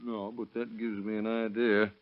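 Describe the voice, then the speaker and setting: A man's voice: lowish voice, flat delivery, moderate pace, natural voice. A man acting a plain-spoken, stubborn police officer in an old radio drama, in a dull vintage recording. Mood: dogged, matter-of-fact. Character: plain-spoken, stubborn male cop who plays hunches.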